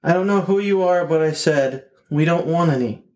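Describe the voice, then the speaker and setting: A jittery husband faces trouble at his front door, as a man plays him. His slightly high voice trips over itself in ums and restarts. He tries to sound tough and keeps faltering. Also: moderate pace, darkish tone, close to an everyday voice. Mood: flustered. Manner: hesitant, stammering, with many fillers. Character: nervous flustered husband answering the door.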